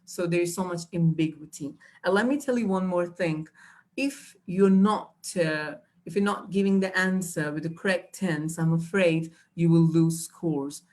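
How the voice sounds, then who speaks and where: Lowish-voiced woman teaching. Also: moderate pace, a little mellow. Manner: explanatory, patient, with many fillers and restarts. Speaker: IELTS trainer. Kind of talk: live online teaching.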